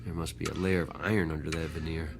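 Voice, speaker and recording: A man's voice: very deep voice, fairly even intonation, fast talker, creaky, over music. An actor playing a jaded, skeptical working man in a radio drama, with a wry, dismissive, colloquial way of talking.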